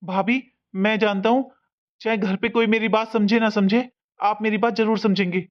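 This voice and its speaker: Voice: medium pitch, fairly even intonation, moderate pace, natural voice. An actor voicing a newly married young son in a cartoon family drama, earnest and insistent, defiant yet pleading. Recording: dull, muffled lo-fi sound.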